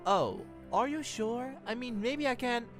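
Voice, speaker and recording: A man's voice: very high-pitched, unhurried, fairly bright tone, faint music behind. Man acting a friendly, awkward college guy. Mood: hopeful, hesitant. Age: young adult. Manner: friendly, earnest, hesitant. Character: kindly, awkward, eager college-age young man. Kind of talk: fan audio drama acting.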